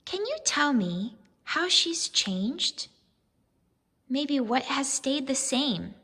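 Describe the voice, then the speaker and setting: A woman reads a children's story like a teacher, slowly and with big swings in pitch. Her voice is husky and expressive, and it rings with a long reverb. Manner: expressive, deliberate, addressing young children. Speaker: teacher reading aloud. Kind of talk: children's storybook read-aloud with questions.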